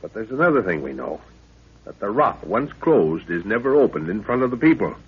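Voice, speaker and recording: Casting: professor-father of a radio adventure party, authoritative explainer, low husky voice, muffled old broadcast sound. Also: sing-song, fairly quick, exaggerated voice. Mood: confident planning. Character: level-headed professor, father and expedition leader. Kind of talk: 1930s radio drama acting. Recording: dull 1930s radio recording.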